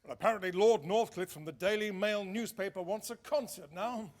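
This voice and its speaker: Actor as a high-voiced, matter-of-fact wireless man. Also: a little fast, natural voice. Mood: informative. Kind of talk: period radio drama acting.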